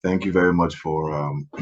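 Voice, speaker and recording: A man hosting a podcast, soft-spoken and hesitant, who often clears his throat and uses fillers. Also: low-pitched, steady tone, measured pace, lo-fi sound. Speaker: podcast host. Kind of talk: interview hosting.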